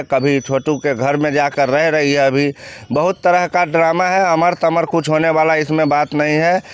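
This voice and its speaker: A man's voice: on the high side, clear, resonant tone. A man with a Bihari accent giving blunt, outspoken opinions as a news commentator answering questions.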